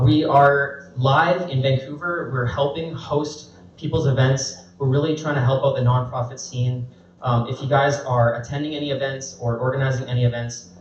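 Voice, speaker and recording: A man, a company head, giving a short, friendly and slightly halting pitch. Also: fairly bright tone. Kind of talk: short announcement. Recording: echoey room, distant dull mic, faint hiss.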